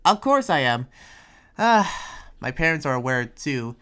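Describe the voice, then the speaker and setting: Someone playing an earnest town preacher in an improvised anime game roleplay, speaking haltingly and hesitantly. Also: mid-range voice, sing-song, sharp tone, clean tone. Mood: pondering. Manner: halting, hesitant, often trailing into "you know". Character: Yuma, earnest town preacher busy planning a festival. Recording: music behind.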